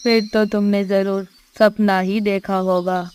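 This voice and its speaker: Woman voicing a slow, flat-toned cartoon animal. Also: mid-range voice, smooth, lightly acted. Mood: doubtful.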